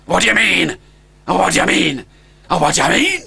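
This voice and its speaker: A man's voice: high voice, very animated, a little fast, creaky, bright, forceful tone, slightly rough, full character voice. A man voicing a big, gullible young dragon boy who whines and pleads. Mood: anxious.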